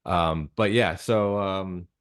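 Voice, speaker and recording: A low-pitched American man speaks as a guest in a casual interview. He thinks aloud, circling back and repeating phrases as he explains things. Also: takes it slowly, clean tone. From United States. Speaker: interview guest, radio drama creator.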